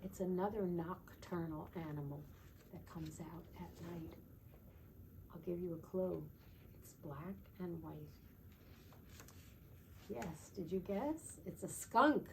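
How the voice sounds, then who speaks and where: A woman teacher leading storytime for young children, gently explaining and often checking in with little questions. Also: fairly low pitch, slow pace, long pauses. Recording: distant mic with faint background noise.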